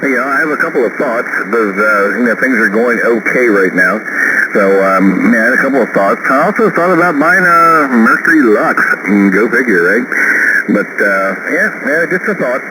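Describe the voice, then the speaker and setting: A man with a mid-pitched voice chats over shortwave radio, buried in very loud static. He is folksy and a little hesitant, thinking out loud in the casual way of a hobbyist on the air. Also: lively intonation, normal speed. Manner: folksy, hesitant, musing aloud. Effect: shortwave radio transmission. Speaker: amateur radio operator. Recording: very noisy, static-filled shortwave radio reception. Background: heavy radio static.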